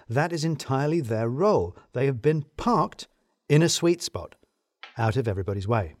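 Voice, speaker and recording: A British man narrates a comic story in the first person, with a dry, wry delivery. His pitch moves around a great deal as he leans on key phrases, and the sound is close and dry. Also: a little mellow, no room sound. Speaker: narrator of an audio fiction serial.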